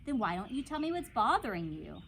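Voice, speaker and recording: Woman voicing a patient, coaxing school principal, lively intonation. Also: a little high, a little fast, gravelly, a little hiss or hum, exaggerated voice. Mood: gently disappointed. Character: concerned, coaxing grown-up male school principal, patient and friendly.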